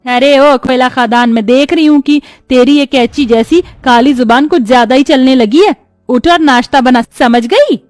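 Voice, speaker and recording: Woman acting a high-pitched, two-faced stepmother. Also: moderate pace, a little mellow, no echo, clean studio sound, soft background music, close to an everyday voice. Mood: scolding. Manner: nagging, domineering. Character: scolding, two-faced, cruel middle-aged stepmother. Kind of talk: animated Hindi moral story acting.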